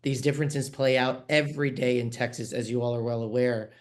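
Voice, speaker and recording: Guy from new york hosting an online panel, kinda halting, lots of ums, mid voice. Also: mid-range voice, steady tone. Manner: friendly, with frequent fillers and restarts. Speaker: panel moderator, managing editor. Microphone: video call.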